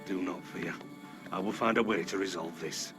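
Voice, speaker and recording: A man's voice: sits low, normal speed, loud music behind the voice, natural voice. A man playing a loyal, protective Puritan husband in a video game, speaking formally and steadfastly in archaic English. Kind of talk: dramatic video game cutscene acting.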